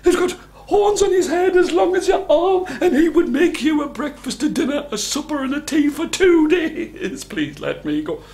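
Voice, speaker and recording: A man's voice: very high voice, animated, moderate pace, few pauses, darkish tone, pitched above the performer's own voice. A man voicing a nervous middle-sized billy goat in a storybook tale, squealing and stammering as he pleads and bargains. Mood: frantic bargaining.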